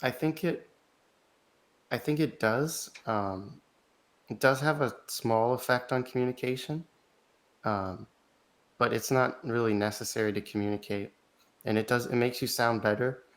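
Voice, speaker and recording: A man's voice: medium pitch, steady tone, fairly bright tone. A man as an interview guest, answering thoughtfully in casual conversation, often restarting his sentences and filling gaps with "like". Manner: thoughtful, halting, with frequent restarts and "like" fillers. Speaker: interview guest, language learner.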